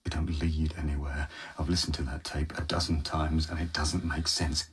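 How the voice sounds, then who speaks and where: A grieving, obsessive scientist. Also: male voice, a bass voice, little pitch movement, normal speed, breathy edge, faint music behind, heavily acted. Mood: grief-stricken. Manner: desperate, urgent, hushed. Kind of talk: radio drama acting.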